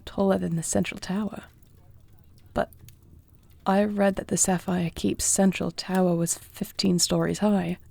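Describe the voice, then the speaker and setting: A woman playing a compassionate, self-doubting young dragon queen in a fantasy audio roleplay, speaking formally, earnestly and with soft intimacy. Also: lowish voice, level tone, a little slow, gravelly, dry recording. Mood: curious, warm.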